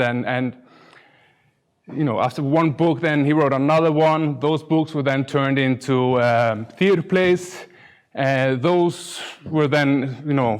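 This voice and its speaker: A man's voice: medium pitch, clean tone. A middle-aged man with an Icelandic accent giving an informal conference talk in a hesitant, self-deprecating way with dry humour. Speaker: conference speaker, UX designer.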